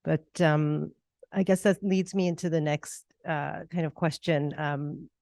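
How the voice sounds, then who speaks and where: A moderator at a film festival post-screening Q&A, asking the director questions, soft-spoken with gentle affirmations. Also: mid-range voice, level tone, a little slow, no echo, studio quality. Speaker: talkback moderator.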